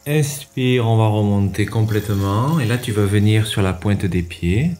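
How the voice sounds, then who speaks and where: A man leads a yoga session in a low, very soft-toned and mellow voice. He gives measured instructions one step at a time, leaving pauses between them. Faint music plays underneath. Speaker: yoga teacher and radio show host. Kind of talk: guided yoga class instruction.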